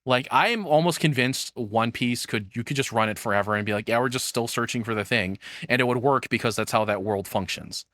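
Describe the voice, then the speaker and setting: A man with a mid-pitched voice, recorded dry. He talks casually as a streamer reacting to a video, thinking out loud and rambling, with offhand swearing. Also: a little fast, hardly any pauses, dry recording.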